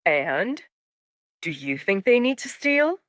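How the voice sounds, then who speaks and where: A woman voicing a patient, scheming middle-aged father in an audio drama, speaking in a measured, coaxing way. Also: medium pitch, big pitch swings, fairly bright tone, no echo, studio quality, voice pushed up. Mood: patient, probing.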